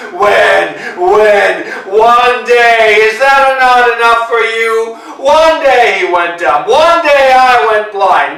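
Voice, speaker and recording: An actor playing a blind, pompous older master in a staged play reading, speaking slowly and imperiously. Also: male voice, high-pitched, bright, forceful tone, recorded from a distance, full character voice. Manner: slow, declamatory, imperious. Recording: echoey room picked up from afar.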